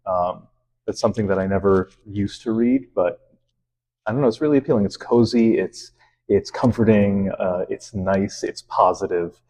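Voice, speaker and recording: A man who teaches math, answering interview questions in a relaxed, friendly way with many fillers. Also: on the low side, moderate pace, slight background noise. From Lebanon.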